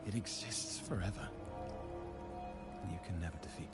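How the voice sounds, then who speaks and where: An actor playing a poetic, calmly defiant storyteller in a video game, eloquent and measured. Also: male voice, sits low, over loud music. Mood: calm, grave.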